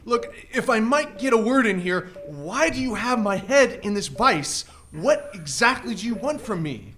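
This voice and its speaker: A man's voice: high-pitched, very animated, measured pace, bright, forceful tone, heavily acted. A man playing a frightened, indignant captive hotel guest in a live stage radio drama. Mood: anxious, complaining. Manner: anxious, exclamatory. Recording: live stage recording with faint noise.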